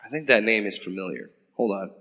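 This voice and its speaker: A man's voice: fairly low pitch, fairly quick, bright, forceful tone, in a large hall. A man playing an earnest, slightly awkward government agent who asks questions politely and hesitantly. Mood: questioning. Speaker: interrogating agent. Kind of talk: audio drama acting.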